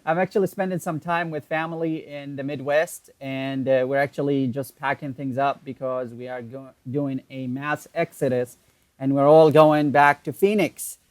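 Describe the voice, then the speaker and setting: A man's voice: mid-range voice, no room sound. Guest, male, 30s, Iraqi Arabic accent, native Arabic, easygoing storyteller, dry video-call audio. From Iraq. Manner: relaxed, laughs easily, halting with fillers. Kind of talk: casual interview storytelling.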